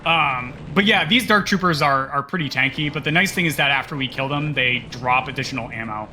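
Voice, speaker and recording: A man's voice: medium pitch, a little fast, pushed and bright, slight background noise. A man co-commentating a live speedrun, explaining the game in a casual way.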